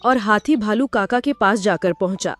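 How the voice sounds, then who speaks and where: A woman's voice: mid-range voice, moderate pace, faint music behind. A woman narrating a Hindi cartoon story in a simple storytelling way.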